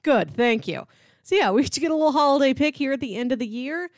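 A woman's voice: sits high, animated, fast, no echo. Woman chatting casually, close dry sound. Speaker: podcast co-host. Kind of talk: casual chat about films.

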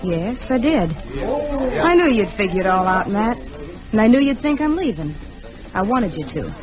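A woman's voice: a little high, big pitch swings, moderate pace, music in the background. A woman playing a cool, taunting American frontier outlaw who schemes, in an old radio Western. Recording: muffled old radio recording, busy crowd behind.

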